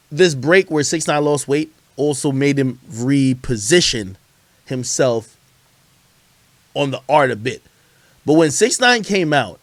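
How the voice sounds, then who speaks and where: A man hosting a casual livestream about hip hop, with a fairly high voice that swings widely in pitch. He talks in loose slang, swearing freely as he spins out stories and opinions. The sound is dry, with hardly any room in it. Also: no room sound. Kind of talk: casual livestream chat, storytelling.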